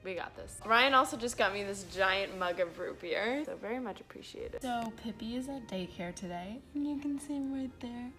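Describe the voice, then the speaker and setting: Young female vlogger, casual and self-deprecating, lively delivery, some background music. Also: fairly high pitch, normal speed, hardly any pauses. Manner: animated, self-deprecating, swearing. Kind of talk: casual chatty reading vlog.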